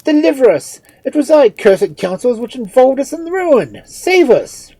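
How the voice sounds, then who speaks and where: A woman voicing a desperate, impatient priest and father in a story, crying out loudly with urgent agony. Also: very animated, normal speed, slightly rough, dry recording, exaggerated voice. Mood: furious, desperate. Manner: loud, high, screaming urgency.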